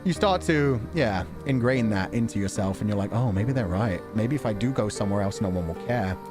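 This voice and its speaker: Low-voiced man streaming, music behind him. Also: expressive, brisk. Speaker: livestream host playing a visual novel. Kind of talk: reading game narration aloud with casual asides to viewers.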